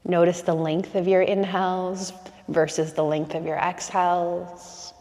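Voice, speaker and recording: A woman's voice: fairly low pitch, big-room sound. Soothing woman guiding a breathing meditation. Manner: slow, soothing, calm instructions with long pauses. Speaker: breathwork instructor.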